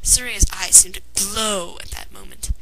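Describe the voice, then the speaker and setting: A woman's voice: mid-range voice, moderate pace, creaky, sharp tone. A woman reading a fan fiction story aloud as narrator, in a dramatized way. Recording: noisy amateur home recording.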